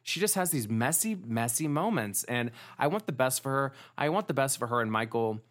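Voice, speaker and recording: A man's voice: medium pitch, expressive, moderate pace. A man co-hosting a casual podcast, recapping a reality show in a chatty way with many fillers and restarts. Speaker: podcast co-host.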